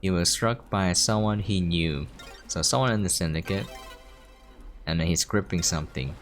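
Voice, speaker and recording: A man's voice: deep voice, moderate pace, faint music behind. A man giving casual gameplay commentary as a streamer, explaining things as he plays. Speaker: video game streamer.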